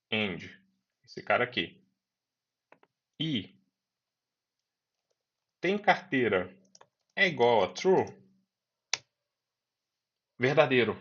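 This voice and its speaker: A man's voice: mid-range voice, a bit bright. A man teaching a programming lesson, talking students through each step and repeating key words. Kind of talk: screen-recorded coding lesson. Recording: dull lo-fi voice recording.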